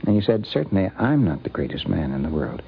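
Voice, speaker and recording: An older American man telling anecdotes off the cuff in a halting, deliberate way. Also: low-pitched, big pitch swings, gravelly, husky. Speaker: interviewee recalling a friend. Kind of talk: unscripted anecdotal reminiscence. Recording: dull vintage radio recording.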